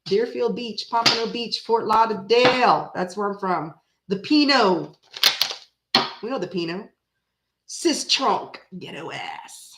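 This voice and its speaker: A woman in her forties, raised in Florida and bilingual in French, with an American accent. She chats casually with live viewers, rambling and breaking into sudden animated bursts. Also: mid-range voice, slow pace, echoey room, heavy background noise. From Canada, raised in Florida. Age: 40s. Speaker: tarot reader chatting with live viewers. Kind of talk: casual livestream chat.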